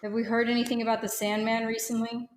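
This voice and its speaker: A woman's voice: a little high, flat delivery, quick pace, natural voice. Woman playing a blunt, snarky rebel slicer. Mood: serious, resolved. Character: defiant, hard-drinking female rebel slicer, gruff and loyal.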